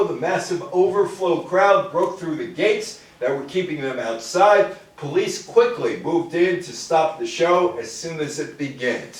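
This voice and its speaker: A man giving an informal history lecture to a live audience, putting rhetorical questions to his listeners. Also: a little high. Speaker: lecturer, public speaker. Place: indoor room with a live audience. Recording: very echoey room, distant mic.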